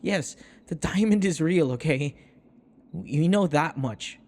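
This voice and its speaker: Guy acting devoted servant boy in an audio roleplay, kinda high male voice, earnest n pleading, halting, wont back down. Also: expressive, darkish tone. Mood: earnest, insistent. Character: devoted young male servant, submissive, stubbornly protective of vampire mistress.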